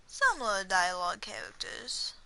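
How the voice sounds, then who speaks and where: A woman giving casual, halting commentary on a video game playthrough, with game music behind her. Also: medium pitch, sing-song, pushed and bright. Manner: halting, trailing off. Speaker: gamer streaming a playthrough.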